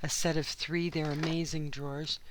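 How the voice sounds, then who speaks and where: A woman with a hoarse, worn-out voice talks casually as she plays in a journal at her craft table. She rambles from one aside to the next, filling the gaps with ums, in the easy manner of a regular channel host. The sound comes from her home craft studio. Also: low-pitched, little pitch movement, moderate pace, slight background noise. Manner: rambling, chatty, frequent asides and fillers. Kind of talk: casual chat while crafting.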